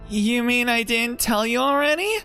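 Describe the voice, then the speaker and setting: An airy young woman who sounds offhand and absent-minded, voiced very high. A man acts her out in falsetto-like lift while playing a game. Game music hums faintly under her. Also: expressive, normal speed, bright, forceful tone, clean tone, full character voice. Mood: sheepish.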